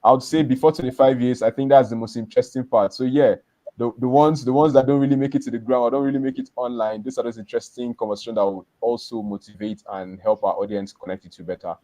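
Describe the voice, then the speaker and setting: A man's voice: mid-range voice, fairly even intonation, fast talker, dark tone, no room sound. A man hosting a live business podcast, talking loosely with many restarts and repeated words. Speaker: podcast host.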